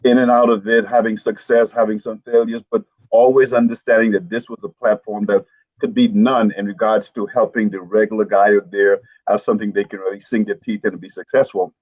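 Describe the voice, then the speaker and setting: A middle-aged man from the Bahamas talks with upbeat energy and laughs easily. His pitch jumps up when he gets excited. The sound is muffled and dry. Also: a little fast, no room sound. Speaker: network marketing coach. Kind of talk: casual storytelling on a live stream.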